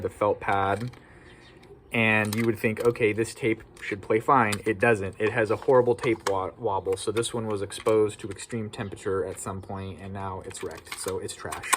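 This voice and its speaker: An American man hosts a casual video chat in a mid-pitched voice. He is plain-spoken and opinionated, giving his take informally. There is clear ambient noise behind him. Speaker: video host. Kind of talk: casual informal video talk about collecting. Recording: clearly noisy background ambience.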